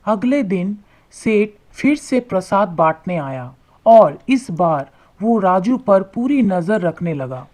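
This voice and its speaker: A woman narrating a scripted animated moral tale in measured, deliberate phrases. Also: mid-range voice. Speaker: story narrator.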